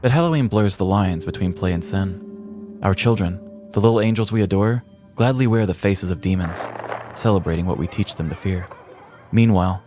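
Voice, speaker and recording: Lowish-voiced American man hosting a podcast. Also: normal speed, over music. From small-town Missouri, US. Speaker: podcast co-host. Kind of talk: casual podcast chat and scripted storytelling.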